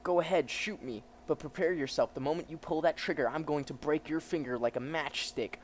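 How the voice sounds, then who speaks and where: Courteous, fearless young English gentleman , read by a man. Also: a little high, fairly quick, a little hiss or hum, pitched above the performer's own voice, natural voice. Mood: coolly menacing. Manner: courteous, firm.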